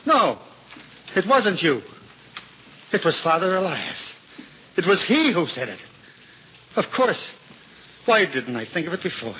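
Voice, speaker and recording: An actor playing a humble, simple-hearted juggler in a 1950s radio drama, earnest and self-deprecating. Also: male voice, a little slow, long pauses, slight background noise. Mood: excited. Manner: earnest, self-deprecating, lively swings in pitch. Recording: dull old radio broadcast with hall reverb and music.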